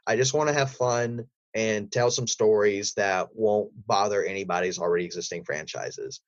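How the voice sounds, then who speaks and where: A man's voice: fairly low pitch, moderate pace, dry recording. Man being interviewed, dull lo-fi sound. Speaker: voice actor and audio drama creator, interview guest. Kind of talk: interview conversation.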